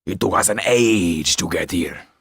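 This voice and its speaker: A man voicing a rough, mean male groom with a grumbling, earthy manner. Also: low voice, a little slow, fairly bright tone, no echo, clean studio sound, exaggerated voice.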